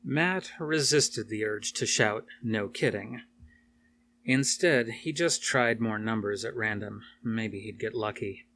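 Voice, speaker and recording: Low-voiced male story narrator. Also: measured pace, few pauses, a bit bright, a little hiss or hum. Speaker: audiobook reader. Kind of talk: scripted narration of an action horror novel.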